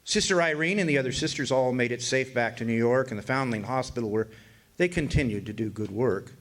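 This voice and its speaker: An actor playing a weary older drifter and war veteran, narrating a radio drama in a folksy, hesitant, reflective way. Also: male voice, medium pitch, fairly quick, a bit bright, lightly acted. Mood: reflective, matter-of-fact.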